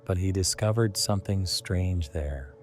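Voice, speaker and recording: A man narrating a scripted guided sleep meditation in a hushed, deliberate way, in short phrases. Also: deep voice, a little hiss or hum.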